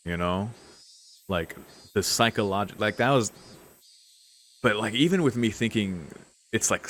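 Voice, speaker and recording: A man's voice: low voice, slight background noise. A man giving casual let's-play commentary, rambling and thinking aloud in slangy speech. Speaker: video host, game player. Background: game music.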